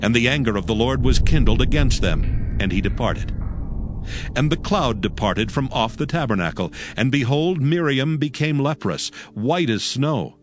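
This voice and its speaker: A man narrating scripture from a script in a measured, solemn storytelling manner. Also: medium pitch, lively intonation. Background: music.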